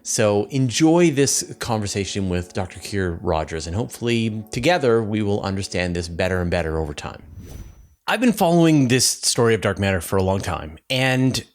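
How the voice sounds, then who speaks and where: Animated, low-pitched male interview host. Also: moderate pace. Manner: inquisitive, thinking aloud, rephrasing for lay audience. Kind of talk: conversational science interview.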